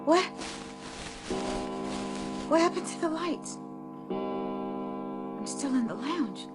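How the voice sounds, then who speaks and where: An actress playing a frightened woman shopper trapped in a closed store, halting, pleading and repeating herself in a radio drama. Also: high voice, animated, exaggerated voice. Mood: panicked, dazed. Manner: breathless, pleading, halting and repetitive. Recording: background music under the voice.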